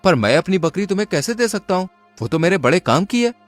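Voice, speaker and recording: A cunning young villager, acted by a male storyteller, with a lively, slightly high voice over clear background music. He is confident and persuasive, in a cartoon folk-tale manner. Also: fast talker. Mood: slyly friendly. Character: cunning, confident young village man outwitting two thugs. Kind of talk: Hindi cartoon moral-story dialogue.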